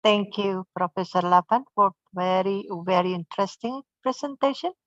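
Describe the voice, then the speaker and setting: A woman's voice: mid-range voice, moderate pace, fairly bright tone, dry recording, lo-fi sound. A woman with an Indonesian accent moderating an online seminar, hesitant with many fillers.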